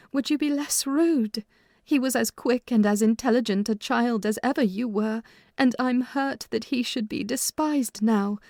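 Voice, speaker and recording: A stern, motherly older housekeeper, as a woman reader voices her, speaking in a fairly high, firm voice. She lectures slowly and deliberately, in a close, dry reading from a storybook. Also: darkish tone, dry recording. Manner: firm, reproving, measured.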